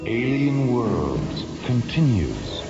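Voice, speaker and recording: A man's voice: mid-range voice, wildly swooping pitch, extremely slow, gravelly, full character voice. A man performing as the grave, portentous narrator of a science fiction radio serial. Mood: solemn. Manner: solemn, portentous storytelling. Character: grave male narrator of space adventure serial. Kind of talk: dramatic scripted radio narration. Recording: dull vintage radio recording, loud music.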